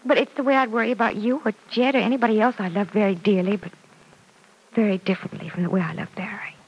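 Husky lovesick young woman, hesitant n earnest, old radio soap opera. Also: mid-range voice, steady tone, fast talker, poor audio. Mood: reflective. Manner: hesitant, earnest, repeats words. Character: earnest young woman, lovesick daughter confiding in her mother. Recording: vintage radio recording.

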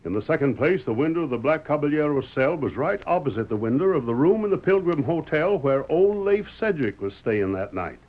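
Wry Old West dad, middle-aged, folksy American drawl, stubborn, old radio western, muffled sound. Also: male voice, on the high side, lively intonation, brisk, hardly any pauses. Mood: wry, defiant. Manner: folksy, sly, drawling needling.

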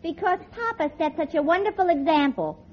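A sassy deadpan girl. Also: very high voice, brisk. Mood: tart. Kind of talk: 1940s radio comedy acting. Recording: dull old radio broadcast, noisy.

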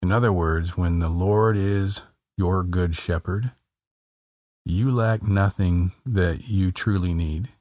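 Casting: man with a very low voice, unhurried, gentle meditation guide, dry close sound. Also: no room sound. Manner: slow, soft, calm, repeats phrases with long pauses.

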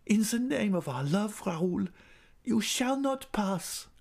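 Man doing a high-pitched, pleading young woman. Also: expressive, takes it slowly, vocal fry, a little mellow, heavily acted. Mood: desperate pleading. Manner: pleading, dramatic. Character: distressed, pleading young woman, proud and secretive. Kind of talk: dramatic audiobook character reading.

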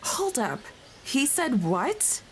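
A woman's voice: sits high, big pitch swings, very slow, a little hiss or hum, exaggerated voice. A woman playing a hardcore, no-nonsense, big-hearted single mother in a comedy audio drama, blunt and protective. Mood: indignant.

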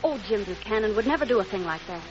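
An actress playing a gentle, lovesick young woman cook with a soft, tremulous manner. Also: medium pitch, animated, quick pace, over music, lightly acted. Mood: wistful. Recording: muffled noisy old radio recording.

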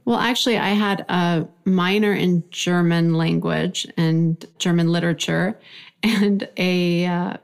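A woman's voice: on the low side, fairly even intonation, measured pace, creaky. A woman in her forties, from Iran, telling her life story reflectively in an interview. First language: Farsi. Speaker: guest. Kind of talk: conversational interview.

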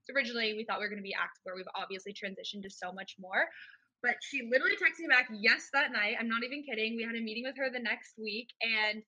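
Upbeat woman guest, bright and forward tone, higher pitch, eager storyteller. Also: fast talker, rough recording. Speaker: podcast guest, business co-founder. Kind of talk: casual interview storytelling.

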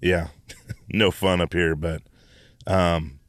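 A man hosting a podcast in a relaxed, casual way, with lots of fillers and restarts. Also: low-pitched, takes it slowly, slight background noise. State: hoarse after stomach flu. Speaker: podcast host. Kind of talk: casual podcast intro and interview.

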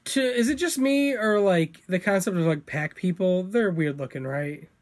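A man co-hosting a casual podcast chat, laughing often and throwing in quick asides. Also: sits high. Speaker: podcast co-host.

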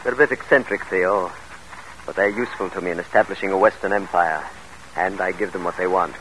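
A man's voice: sits low, fairly even intonation, brisk, a bit bright. An actor in a classic radio drama playing a scheming, grandiose exiled father with a commanding, theatrical manner. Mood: confident. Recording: noisy old radio drama recording.

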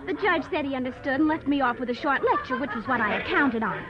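A determined young lady, high voice, earnest and insistent, muffled old radio show w music. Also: animated, fast talker. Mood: confiding, determined. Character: determined, urgent young woman seeking justice for her father. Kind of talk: 1940s radio acting. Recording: dull vintage radio recording with music.

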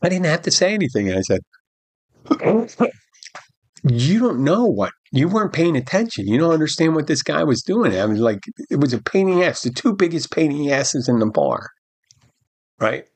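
A man's voice: a little high, moderate pace, breathy edge, dry recording. A middle-aged man hosting a podcast, telling a rambling story about his bartending shift and acting out the dialogue. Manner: rambling, animated retelling with quoted dialogue and restarts. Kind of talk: casual storytelling monologue.

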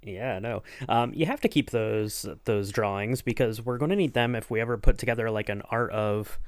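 A man's voice: fairly low pitch, normal speed, dry recording. A man interviewing casually as a podcast host, with hesitant, rambling phrasing.

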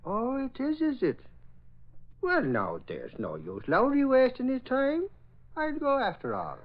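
High-pitched blustering Irish newspaperman. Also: unhurried, full character voice. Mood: curious, eager. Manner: folksy, excitable, tags sentences with repeats. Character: blustering, simple, good-hearted Irish newspaper reporter, easily smitten. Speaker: newspaper reporter. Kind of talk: old-time radio comedy-drama acting. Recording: dull, noisy old radio recording.

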